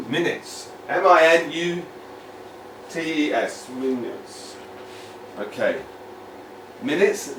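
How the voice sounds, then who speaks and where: A British man from the United Kingdom, an English teacher giving a lively lesson to children, repeating words and asking the viewer questions. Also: a little high, a little hiss or hum. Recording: echoey room, mic at a distance.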